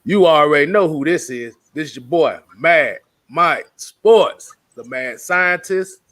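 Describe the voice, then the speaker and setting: A man's voice: on the high side, animated, takes it slowly, fairly bright tone, no echo. A man co-hosting a casual live sports talk stream, joking and reading out viewer names in a loose way. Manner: loose, joking, laughs a lot. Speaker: co-host of a sports talk show.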